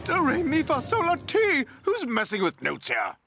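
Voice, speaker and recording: A woman voicing a cross, bossy, pedantic musician in a children's cartoon, theatrical and scolding, with a creaky voice and music behind. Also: sits high, huge pitch swings, normal speed, exaggerated voice. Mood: indignant.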